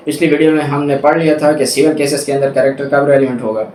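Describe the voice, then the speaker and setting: Man, Pakistani accent, medium pitch, didactic law lecturer, repetitive emphasis, echoey room. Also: steady tone, fast talker, a little mellow. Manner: didactic, repeats points for emphasis. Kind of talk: explanatory lecture, reading statutes and paraphrasing them. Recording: echoey room with faint background noise.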